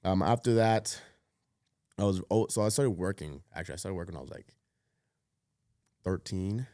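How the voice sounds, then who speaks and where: A man in his thirties, born in Cuba, telling his life story as a podcast guest in a relaxed way, with lots of fillers, often trailing off quietly. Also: deep voice, moderate pace, dry recording, clean studio sound. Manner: laid-back, many fillers, drops to a mutter at phrase ends. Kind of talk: casual storytelling chat.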